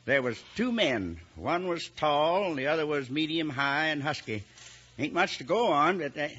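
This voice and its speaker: An actor playing a downhearted old lawman in a western radio drama, with a halting, folksy drawl. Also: on the high side, expressive, fairly bright tone, slightly rough. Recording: dull vintage radio recording.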